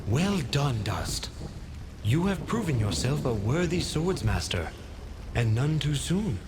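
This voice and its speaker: A man's voice: mid-range voice, sing-song, takes it slowly, noisy background. A man voicing the wise spirit of a talking sword in a video game, solemn and formal. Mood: solemn mentoring. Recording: music and rain behind the voice.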